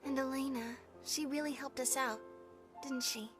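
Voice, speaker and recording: A woman with an American accent voicing an earnest, gentle young crewmate in a video game cutscene, speaking softly and sincerely. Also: high voice, steady tone, moderate pace, lightly acted. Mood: wistful, tender. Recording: music bed behind the voice.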